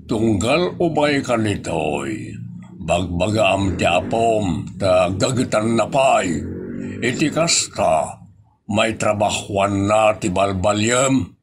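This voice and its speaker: A man's voice: lowish voice, background noise. A man playing a matter-of-fact head of household in a comedy audio drama, with an Ilocano Filipino accent.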